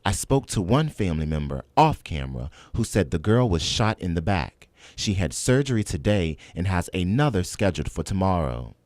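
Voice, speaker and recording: Male American news reporter, scripted narration, animated intonation, close dry sound. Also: deep voice, normal speed, few pauses, no echo.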